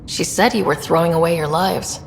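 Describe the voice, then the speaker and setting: A woman's voice: fairly low pitch, brisk, gravelly. A woman playing a determined, wry human explorer in a sci-fi video game. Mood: dry, casual. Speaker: player character in a sci-fi game. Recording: long reverb, faint background noise.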